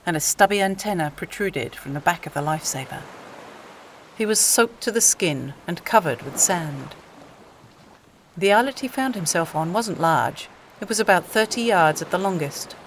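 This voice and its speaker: A woman's voice: on the low side, moderate pace, slight background noise. A woman reading scripted story narration for an audio play. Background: music.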